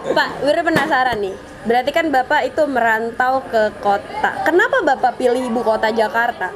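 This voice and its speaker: Chatty young woman host, high voice. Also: a little fast, noisy background. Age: young adult. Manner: chatty, playful, laughs often, addresses viewers as guys. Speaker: channel host, interviewer. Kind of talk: casual vlog interview.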